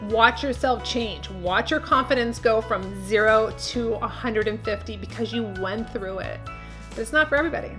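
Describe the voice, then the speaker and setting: A woman's voice: fairly high pitch, moderate pace, fairly bright tone, faint music behind. A woman hosting a beauty channel, speaking to camera and giving tips in an emphatic, encouraging way with repeated key words.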